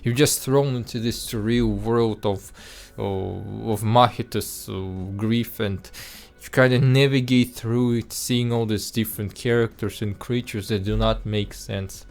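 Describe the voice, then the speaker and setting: Lowish-voiced guy with a russian-ish accent, non-native english, chatting earnestly about a movie, lots of uh's and restarts. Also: steady tone, measured pace, vocal fry, hardly any pauses, soft background music. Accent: Russian-sounding non-native English. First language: not English. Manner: earnest, many restarts and filler words. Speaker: YouTube film reviewer. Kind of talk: casual spoken film review.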